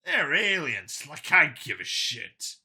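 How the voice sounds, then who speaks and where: A man puts on a cocky medic and hunter for an audiobook. The voice rides high and swings widely, with a sharp, forceful edge. He is combative and folksy, prone to exclaiming. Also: huge pitch swings, slow, gravelly, slightly rough, full character voice. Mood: brash defiant. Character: brash, cocky older human medic and hunter, male. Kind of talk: acted audiobook dialogue.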